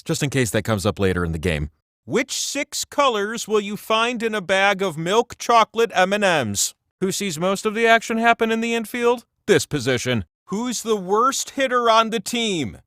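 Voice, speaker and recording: A man voicing a whimsical, all-knowing talking wheel that hosts a trivia video game, theatrical and playful, with drawn-out emphasis. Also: high-pitched, very animated, a bit bright, no echo, studio quality. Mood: whimsical, musing. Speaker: game show host. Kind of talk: scripted trivia game hosting.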